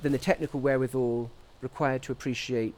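Male interviewee, scientist. Mid-pitched, measured and articulate, reflective academic delivery. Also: steady tone, darkish tone. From grew up in Portugal, England and the US. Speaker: interviewee, scientist at a research institute.

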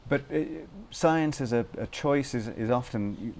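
A man interviewing in a conversational style, haltingly rephrasing his questions as he goes. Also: medium pitch, a little mellow.

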